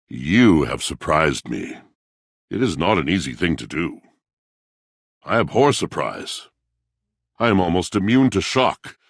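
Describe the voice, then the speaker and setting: An actor playing a brooding, melancholy, self-pitying machine in a video game, speaking florid, poetic lines in a grand theatrical manner with a gravelly voice. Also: male voice, low-pitched, no echo, clean studio sound, exaggerated voice. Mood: wry, haughty. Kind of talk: video game character acting.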